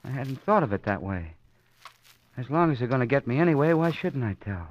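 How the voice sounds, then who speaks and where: An actor playing a nervous, frightened, fatalistic city employee in an old-time radio crime drama, agitated and halting. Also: male voice, mid-range voice, very animated, lo-fi sound, natural voice. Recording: noisy vintage radio recording with clicks.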